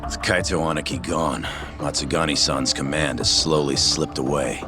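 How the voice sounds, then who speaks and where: An actor playing a bitter but loyal yakuza underling in a video game drama, gruff and resentful. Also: male voice, very deep voice, normal speed, music in the background. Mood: regretful. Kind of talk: dramatic English game voice acting.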